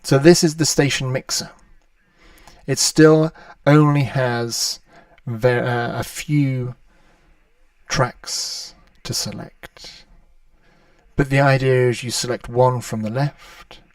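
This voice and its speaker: A man presenting an online radio livestream in a measured way, walking listeners through a demonstration. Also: medium pitch, fairly even intonation, dry recording, studio quality, distant mic. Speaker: online radio station host. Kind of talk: calm livestream presentation with website demo. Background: ambient music.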